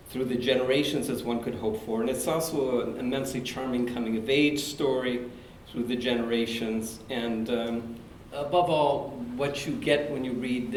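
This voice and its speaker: A man hosting a university literary reading, giving a formal introduction at a measured pace with occasional fillers. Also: medium pitch, darkish tone, reverberant, slight background noise, poor audio. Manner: measured, reads from prepared notes with occasional fillers. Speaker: event host introducing the speaker. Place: university event hall.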